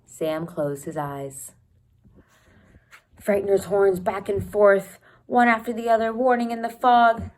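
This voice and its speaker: A woman teacher reading a children's novel aloud expressively, pausing to think aloud and comment for her students. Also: medium pitch, steady tone, a little mellow. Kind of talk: read-aloud storytelling with asides to students.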